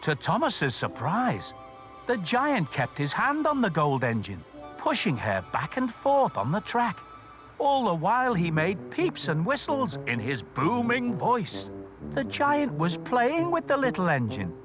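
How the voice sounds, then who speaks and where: A man narrating a story for children as host, expressive and speaking directly to young listeners. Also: high-pitched, a little slow, over music. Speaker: storytelling host of a children's show, station worker persona.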